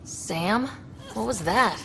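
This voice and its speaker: Young female game explorer: fry-edged, wry, inquisitive, casual, some reverb around her. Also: medium pitch, slow pace, bright, forceful tone, slight background noise, heavily acted. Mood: wry, curious. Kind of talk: video game voice acting.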